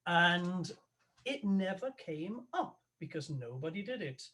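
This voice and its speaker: A man, an opera tenor, talking as a guest on a video call, halting and thoughtful with many restarts. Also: high-pitched, big pitch swings, sharp tone. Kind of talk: conversational interview over a video call.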